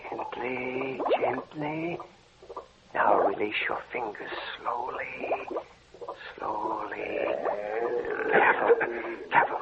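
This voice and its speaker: An actor playing an intent, commanding mad scientist in an old radio horror drama, giving terse, urgent orders. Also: male voice, on the low side, expressive, takes it slowly, vocal fry, pauses often, full character voice. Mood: tense, focused. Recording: very noisy, muffled old radio recording.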